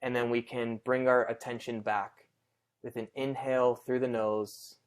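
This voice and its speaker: Clear-voiced man gently guiding meditation. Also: mid-range voice, little pitch movement. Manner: gentle, reassuring, unhurried with long pauses. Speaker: meditation guide. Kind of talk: guided meditation, conversational and unscripted.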